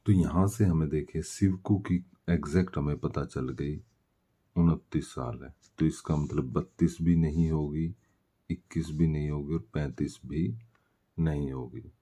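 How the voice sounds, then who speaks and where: Male tutor, slow and mellow delivery, walking through worked solutions with self-answered questions. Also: very deep voice, steady tone, husky. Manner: explanatory, poses questions and answers them himself. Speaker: maths teacher. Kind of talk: step-by-step worked-solution lesson.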